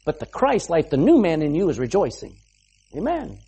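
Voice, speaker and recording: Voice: mid-range voice, wildly swooping pitch, moderate pace, mellow, breathy edge. A preacher giving an emphatic sermon, asking the listeners rhetorical questions and punctuating points with "amen".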